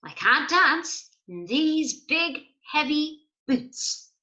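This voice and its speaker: An eager, easily worried storybook fellow, as a woman reader acts him out. The voice is high and swoops widely, with wide-eyed exclamations. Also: sing-song, drawn-out words, pushed and bright, muffled recording, heavily acted.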